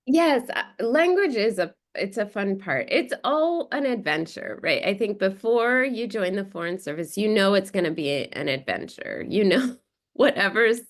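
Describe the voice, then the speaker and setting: A woman podcast guest talking casually in a lively way, with big swings in pitch. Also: normal speed.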